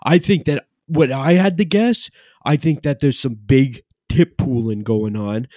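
A man's voice: mid-range voice, a little slow, dark tone, no room sound, studio quality, natural voice. A man playing a brash, self-important male bartender who wants reality-TV fame, talking in a rambling, boastful, mock-modest way. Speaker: guest. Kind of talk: improvised comedy character interview.

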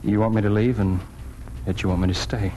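An actor playing an amiable, wry small-town newspaper editor in a 1940s radio drama. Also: male voice, lowish voice, steady tone, fairly quick, dark tone, close to an everyday voice. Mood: rueful, affectionate. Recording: noisy old 1940s radio recording.

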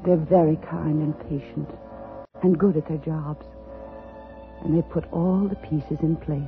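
An actress playing an unstable woman telling a story in an intense, halting, dramatic 1950s radio style. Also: low voice, steady tone, narrow, tinny audio. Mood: brooding, halting. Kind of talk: 1950s radio suspense acting. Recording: thin vintage radio recording with music.

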